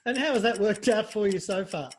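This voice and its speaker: A man with a notably high voice hosts a rapid comic interview. He teases his guest in a playful, mock-grand manner. Faint background noise sits under the recording. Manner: teasing, mock-formal and playful. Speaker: interview host. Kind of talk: scripted quick-fire comic interview.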